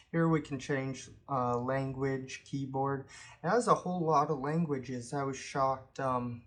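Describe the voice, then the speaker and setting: A man hosting a tech tutorial, calmly explaining each step of a computer walkthrough with occasional ums. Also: mid-range voice. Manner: unhurried step-by-step explaining with fillers. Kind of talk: screen-recorded how-to walkthrough.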